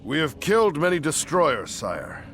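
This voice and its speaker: An actor playing an earnest, humble, deferential dwarf warrior in a fantasy video game. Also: male voice, a little high, very animated, unhurried, a little hiss or hum, full character voice. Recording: game cinematic under loud music.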